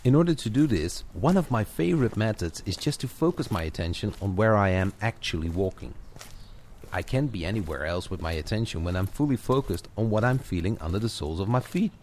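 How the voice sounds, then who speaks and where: A man's voice: lowish voice, expressive, fairly quick, a little mellow. A man speaking as a coach in a conversational guided session, addressing one listener with encouragement and playful asides. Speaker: coach guiding a walking audio session. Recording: clear background noise of outdoor walk effects. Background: added walking footsteps, garden birds, ambience.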